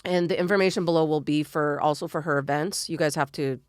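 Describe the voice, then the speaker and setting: A woman podcast host with a slightly low voice and a dry, close sound. She interviews in an effusive, gushing way, often pausing and restarting around filler phrases. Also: fairly even intonation, no room sound.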